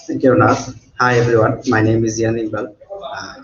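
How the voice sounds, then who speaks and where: A Bangladeshi-accented man speaks in a steady, flat tone. His voice is muffled and roomy, with a faint hum of ambient noise behind it. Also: medium pitch, normal speed. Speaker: panelist, marine welder.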